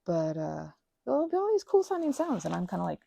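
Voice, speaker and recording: A young woman chatting in a rambling, casual way while she works on a craft project, sometimes dropping into soft whispers. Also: fairly low pitch, sing-song, quick pace, vocal fry. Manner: chatty, rambling, drifts between soft whispers and louder asides. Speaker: ASMR creator.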